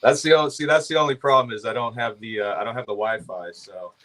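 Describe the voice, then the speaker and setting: A man guesting on a show from the back room of his shop, talking in a laid-back, rambling way with a hoarse, dry voice. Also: mid-range voice, steady tone, quick pace, fairly bright tone. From California. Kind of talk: casual chat.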